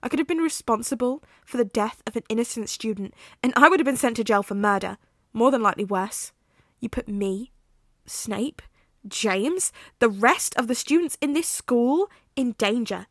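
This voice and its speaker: A woman voicing a betrayed teenage boy who is a werewolf, shouting and swearing bitterly in a storybook reading. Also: a little high, expressive, very slow, slightly rough, no room sound, studio quality, exaggerated voice. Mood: furious.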